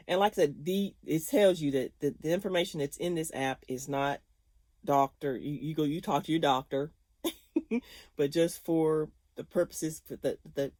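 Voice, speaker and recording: A woman's voice: deep voice, dark tone, no room sound. Woman, fifties, chatty vlogger, laughs a lot, dry close recording. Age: 50s. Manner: chatty and rambling, laughs often. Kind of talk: casual chat to viewers.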